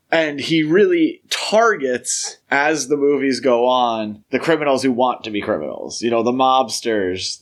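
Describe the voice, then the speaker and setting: A man speaking as a podcast co-host, discussing film in a conversational, measured, explanatory way. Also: mid-range voice.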